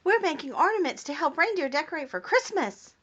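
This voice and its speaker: A woman voicing a patient, helpful puppet friend in a children's puppet show, in a measured, theatrical manner. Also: sits high, very animated, a bit bright, dull audio.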